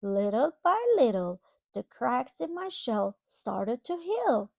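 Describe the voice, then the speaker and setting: A woman reading a children's picture book aloud, expressively, pausing to explain things to young listeners. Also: a little high, big pitch swings, vocal fry, a little mellow, dry recording, studio quality. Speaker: children's storybook reader. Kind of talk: read-aloud storytelling to young children with simple asides.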